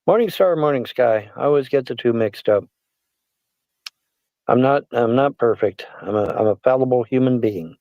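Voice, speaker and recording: A man's voice: mid-range voice, normal speed, no room sound, muffled recording. A man in his sixties, rambling and self-deprecating as he hosts a casual livestream chat. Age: 60s. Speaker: livestream host.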